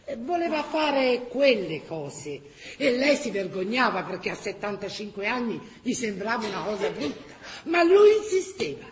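A woman's voice: a little high, very animated, moderate pace. An actress playing a Florentine woman who recounts neighbourhood tales in a colloquial, theatrical way. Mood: animated. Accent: Florentine Tuscan. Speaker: actress-narrator. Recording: roomy stage echo.

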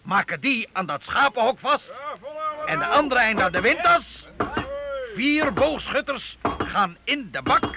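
A man's voice: very high-pitched, lively intonation, unhurried, exaggerated voice. A man playing a seasoned medieval master craftsman in a Dutch radio drama, eagerly and instructively directing a siege in a fatherly way. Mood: eager explaining.

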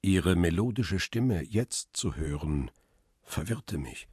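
An actor playing a lonely, melancholy middle-aged photographer who narrates a radio drama in a measured, reflective way. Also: male voice, low voice, lively intonation, a little mellow, slightly rough, no room sound, clean studio sound, heavily acted. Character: world-weary male photographer, lonely widower, melancholy. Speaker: first-person narrator and lead.

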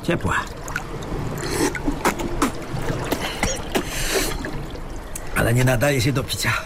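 A man's voice: mid-range voice, fast talker, heavy background noise, natural voice. A man playing a sardonic, self-assured plane-crash survivor muttering colloquially while adrift at sea in a radio play. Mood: wry. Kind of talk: Polish radio play dialogue. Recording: loud splashing water sound effects behind. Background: sea water sounds.

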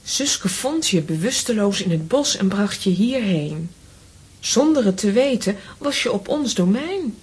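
An actress playing a composed young lady of a castle in a children's audio drama, soothing and husky. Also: medium pitch, very animated, a little slow, a little mellow, exaggerated voice. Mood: composed, placating.

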